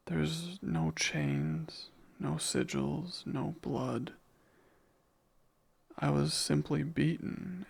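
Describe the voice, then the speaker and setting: A man playing a jealous, devoted demon in an intimate ASMR romance roleplay, speaking ardently and deliberately in a breathy, gravelly voice. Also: a little high, exaggerated voice. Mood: tender, yearning. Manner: slow, deliberate, ardent, possessive. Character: jealous, devoted male demon confessing love.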